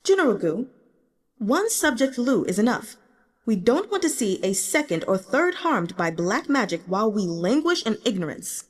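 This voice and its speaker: A woman's voice: on the high side, big pitch swings, normal speed, in a large hall. A woman voicing a proud, resolute emperor who speaks formally and imperiously in an audiobook. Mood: grave, commanding. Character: proud, resolute young male emperor plotting bold reforms.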